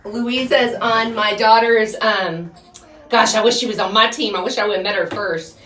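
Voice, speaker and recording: A middle-aged woman talks casually to her viewers as she works. Her delivery is folksy and chatty, with a medium pitch. The mic sits at a distance in a small, roomy-sounding wooden space, with clear noise behind her. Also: moderate pace. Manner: folksy, chatty, rambling while working. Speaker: homestead vlogger and host. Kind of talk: casual chat to viewers during chores. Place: small wooden chicken coop. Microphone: phone set up a few feet away.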